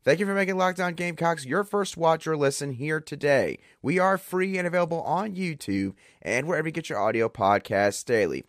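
A hoarse man hosting a solo sports podcast, breaking down players in an emphatic, conversational way. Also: on the high side, brisk, hardly any pauses, dry recording, clean studio sound. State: hoarse, under the weather. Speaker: podcast host.

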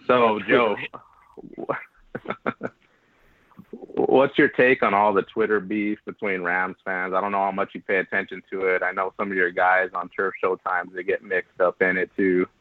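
A man's voice: low-pitched, little pitch movement, normal speed, no echo, narrow, tinny audio. A man co-hosting a podcast, steering the chat in a loose, laughing way. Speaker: podcast co-host.

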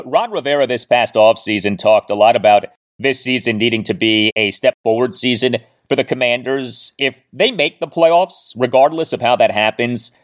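A man with a medium-pitched voice hosts a sports show. He moves between interview questions and recaps in a conversational presenter's style. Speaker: sports podcast host.

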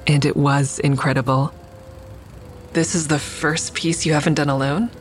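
A woman's voice: deep voice, fairly even intonation, normal speed, close to an everyday voice. An actress playing a curious young woman who narrates her story in an intimate, wry way in an audio drama. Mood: admiring. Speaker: narrator and lead character. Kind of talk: audio drama first-person narration and natural dialogue. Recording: faint music behind the voice.

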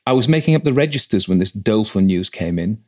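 A man's voice: on the low side, animated, fast talker, a little mellow. A man voicing an educated, slightly impatient country clergyman with a formal, authoritative manner in a ghost story read aloud. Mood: impatient, businesslike.

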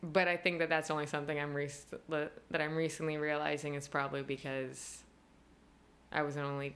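Woman with a low voice, young adult, chatty guest, lots of fillers, laughs often. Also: level tone, brisk, fairly bright tone. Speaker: costume designer, guest. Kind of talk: casual conversational interview.

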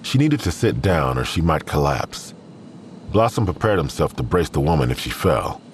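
A man's voice: very deep voice, sing-song, normal speed, a little hiss or hum. A man narrating a scripted crime audio drama as its storyteller.

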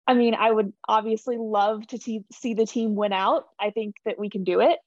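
A woman's voice: medium pitch, steady tone, normal speed, no echo, highs cut off. A young woman speaking as a podcast guest from a team's communications staff, chatting in an upbeat, earnest way. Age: young adult. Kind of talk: casual interview chat.

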